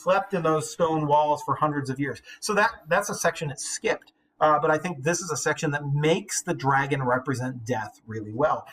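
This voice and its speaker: A man with a bright, forceful, fairly high voice reads and explains literature as a teacher. His manner is informal with wry asides. There is faint noise on the recording. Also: low-quality recording. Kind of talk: read-aloud with informal commentary.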